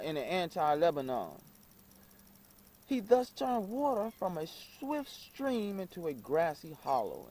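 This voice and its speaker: A man reading an old book aloud in a halting way, stumbling over words and repeating phrases. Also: on the high side, a little mellow, a little hiss or hum. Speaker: reader.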